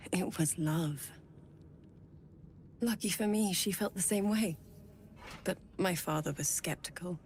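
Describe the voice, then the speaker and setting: An actress playing a grieving young woman brought back from death in a fantasy video game, speaking in a slow, hushed, halting way. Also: on the low side, a little hiss or hum.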